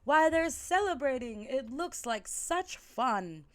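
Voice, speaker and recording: Lady doing a naive skeleton guy from a storybook, super earnest n dramatic, takes it slow. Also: high voice, lively intonation, pitched above the performer's own voice. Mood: naive delight. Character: naive, dramatic male skeleton who longs to be Santa.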